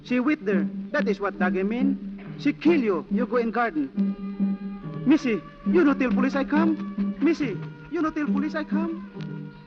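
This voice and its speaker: A very high-voiced servant, fearful and superstitious, pleading in halting broken English. It is 1940s radio drama, dull and muffled, with music clearly behind. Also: male voice, animated. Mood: fearful warning.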